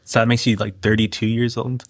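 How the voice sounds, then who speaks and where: A man's voice: mid-range voice, flat delivery. A man in his twenties co-hosting a podcast, teasing and reacting in casual chat. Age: 20s. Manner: playful, teasing, quick reactions. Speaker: podcast co-host.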